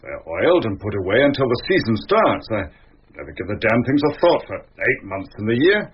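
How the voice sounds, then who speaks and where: An actor playing a defensive, haughty country gentleman in a radio drama, speaking with indignant, clipped upper-class bluster. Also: medium pitch, sing-song, fairly quick, lo-fi sound, slight background noise, close to an everyday voice.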